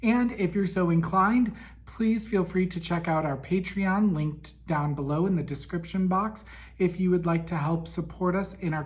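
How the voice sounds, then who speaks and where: A man hosting a casual monthly podcast recap, raspy and weak in breath from a head cold, chatty with frequent ums. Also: a little high, darkish tone. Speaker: podcast host.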